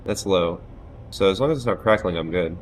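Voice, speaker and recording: A man in his thirties chatting casually on a live stream from a small room at home, loud-voiced, loose and self-deprecating, with frequent swearing, on a headset mic. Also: sits low, animated, brisk, slight background noise. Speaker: game streamer.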